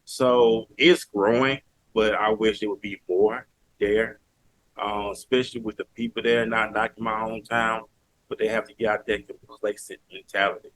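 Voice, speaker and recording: A man's voice: fairly low pitch, fairly even intonation, moderate pace, a bit bright, dry recording, low-quality recording. A man from Alabama with a Southern accent, talking earnestly and emphatically as a podcast guest. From Mobile, Alabama, US. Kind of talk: casual interview talk.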